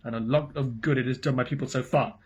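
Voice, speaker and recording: A man's voice: medium pitch, fairly even intonation, fast talker, darkish tone, slightly rough, lightly acted. A man voicing a bitter, scheming male dwarf who sneers and snaps. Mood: sneering. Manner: sneering, snapping.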